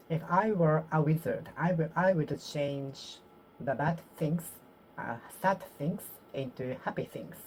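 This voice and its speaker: A Japanese woman with a Japanese accent practicing English aloud to herself, halting and repeating phrases as she corrects them. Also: sits low, darkish tone, slight background noise, rough recording. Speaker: vlogger. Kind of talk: solo English speaking practice, talking to herself.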